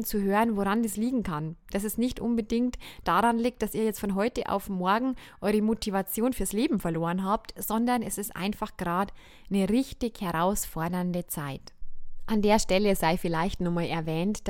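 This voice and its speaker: A woman's voice: mid-range voice, no echo, clean studio sound. A woman hosting her own podcast as an astrologer, talking candidly and laughing often, a little stuffed up from a cold. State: slightly stuffed-up nose from a cold. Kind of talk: casual solo podcast talk.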